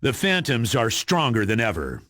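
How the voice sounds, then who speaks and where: An actor playing an authoritative spy agency commander in a video game, giving orders in a gruff, commanding manner. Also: male voice, mid-range voice, wildly swooping pitch, moderate pace, a bit bright, dry recording. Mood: grave briefing. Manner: commanding, briefing, dry. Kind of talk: video game mission briefing.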